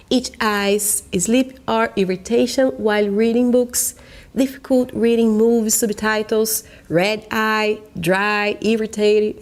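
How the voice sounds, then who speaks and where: A Brazilian woman with a Portuguese accent presents a course in English, earnest and persuasive. Her voice sits a little high, and her phrasing is careful and slightly halting, like someone working in a second language. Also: a little mellow. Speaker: natural vision course instructor.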